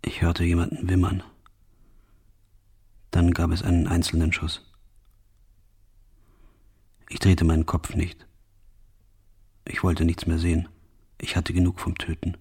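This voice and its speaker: A numb, guilt-ridden soldier recounting his deeds in a radio drama. His voice sits low and is thickly husky, with a lot of breath in it. The delivery is grave, restrained and halting. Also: completely flat delivery, darkish tone, exaggerated voice. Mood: numb, exhausted. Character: guilt-ridden male soldier of a firing squad, numb, exhausted. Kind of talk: scripted first-person radio play monologue.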